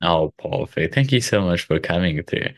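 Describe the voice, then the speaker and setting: A man with the flu hosting a casual video call, relaxed and given to laughing and asking thoughtful questions. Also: sits low, normal speed, gravelly, no echo, clean studio sound. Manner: relaxed, laughs often, asks reflective questions. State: sick with flu. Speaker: host.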